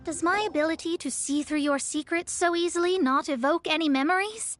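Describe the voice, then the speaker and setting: A woman's voice: extremely high pitch, fairly quick, a bit bright, faint music behind. A woman playing a proud young astrologist in a video game, speaking in a formal, grandiose and theatrical way. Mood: haughty, knowing. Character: proud, self-assured young female astrologist. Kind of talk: English video game voice acting.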